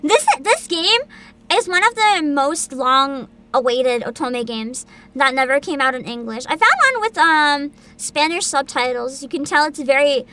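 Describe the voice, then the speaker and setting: High, bright, bubbly woman streamer. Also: a little slow. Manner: excitable, bubbly, giggly. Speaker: livestreamer host. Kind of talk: casual livestream chat with viewers.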